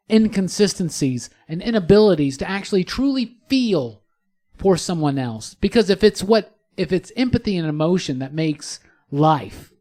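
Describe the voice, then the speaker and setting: A man's voice: fairly high pitch, darkish tone. A man hosting a podcast in a conversational, effusive way, rephrasing his points for emphasis. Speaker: podcast host.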